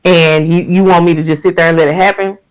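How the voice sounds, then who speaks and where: A woman's voice: lowish voice, fairly even intonation, quick pace, rough recording. A woman hosting a podcast, talking candidly and emphatically straight to her listeners about faith and everyday pressures. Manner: candid, emphatic, conversational, addresses listeners directly. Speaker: podcast host. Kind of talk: candid faith and wellness monologue.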